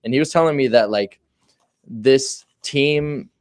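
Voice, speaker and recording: A man's voice: medium pitch, unhurried, dry recording, clean studio sound. A man co-hosting a sports radio show, chatting casually with stammering restarts. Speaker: co-host. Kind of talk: casual chat.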